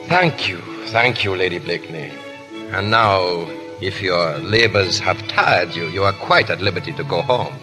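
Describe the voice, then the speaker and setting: Low, scheming French ambassador. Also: male voice, a little slow. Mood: coolly commanding. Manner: clipped, imperious, formally polite. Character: cold, scheming French ambassador, commanding and impatient. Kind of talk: 1930s radio drama acting. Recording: old 1930s radio recording with music.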